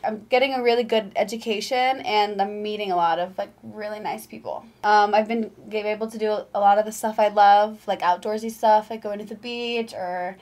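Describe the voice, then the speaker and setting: A woman's voice: medium pitch, fairly even intonation, normal speed, hardly any pauses, darkish tone. A young woman from the United States, answering casually as an interview guest. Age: young adult. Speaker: interview guest, college student. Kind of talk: casual chat.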